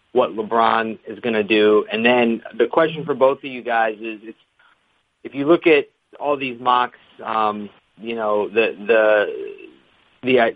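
A man, a reporter, asking questions on a press conference call with somewhat hesitant phrasing. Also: mid-range voice. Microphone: telephone. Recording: tinny phone conference line.

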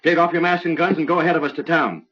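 An actor playing a stubborn, suspicious Old West posse leader in a radio drama, blunt and commanding in folksy cowboy talk. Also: male voice, fairly high pitch, steady tone, rapid-fire, lightly acted. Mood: stubborn, accusing. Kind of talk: old-time radio western acting. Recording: muffled, noisy old radio recording.

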